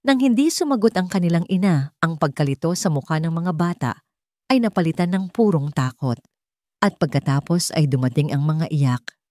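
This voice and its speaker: A woman's voice: sits low, lively intonation, normal speed, dark tone, no room sound, studio quality. A woman with a Filipino accent reading a scripted dramatic story as an audiobook narrator.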